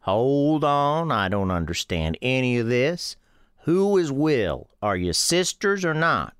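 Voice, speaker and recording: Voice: mid-range voice, very animated, slow, clean tone, no room sound, studio quality, voice pushed up. A performer playing a kindly, puzzled old Southern storekeeper man with a folksy, easygoing manner in a radio story.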